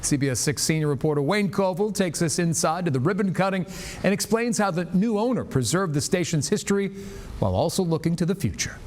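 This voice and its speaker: A man's voice: high voice, expressive, fairly quick, darkish tone. A man anchoring a morning newscast, reading the stories and chatting with colleagues. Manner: friendly, bantering with colleagues. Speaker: news anchor. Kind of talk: scripted news reading.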